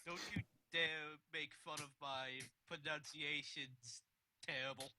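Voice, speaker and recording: A man's voice: fairly high pitch, steady tone, takes it slowly, background noise. A man chatting on a casual group voice call, hesitant and full of fillers.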